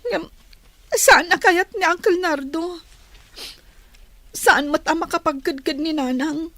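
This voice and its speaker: A woman's voice: extremely high pitch, takes it slowly, bright, forceful tone, dry recording, full character voice. A woman playing a wistful, respectful teenage schoolgirl with a Filipino accent, pleading in a radio drama. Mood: sad. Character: wistful teenage schoolgirl longing for united parents.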